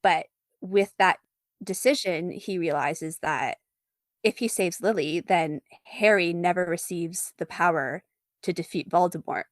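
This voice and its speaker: A woman's voice: lowish voice, unhurried, no echo. A woman speaking casually as an interview guest, often laughing as she talks. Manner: giggly, laughs often while talking. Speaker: interview guest, artist and fan fiction author.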